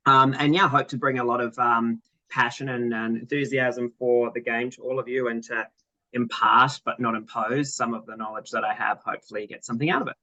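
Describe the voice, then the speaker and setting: A man from South Africa gives a chatty, rambling self-introduction as a netball coach. His voice is bright and forward. The sound is dry and close, through a video-call mic. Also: medium pitch, steady tone, brisk, no echo, muffled recording. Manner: chatty, laughing, rambling anecdotes.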